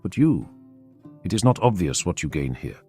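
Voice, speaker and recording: A man's voice: low-pitched, sing-song, moderate pace, mellow, soft background music, pitched below the performer's own voice. A man voicing a stern, cold warrior-genius who speaks bluntly and directly. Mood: measured.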